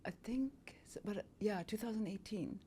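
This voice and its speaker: A woman's voice: mid-range voice, normal speed, mellow, a little hiss or hum. Reflective woman in her fifties, from Germany. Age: 50s. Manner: reflective, hesitant, with many fillers. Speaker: guest model. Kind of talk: casual interview talk.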